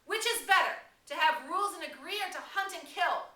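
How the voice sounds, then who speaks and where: Woman playing indignant schoolboy, loud, high, piercingly bright, insistent, storybook reading, set back from mic. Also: animated, a little fast, heavily acted. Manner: shrill, insistent shouting. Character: indignant, earnest schoolboy demanding to be heard. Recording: roomy, distant mic, faint noise.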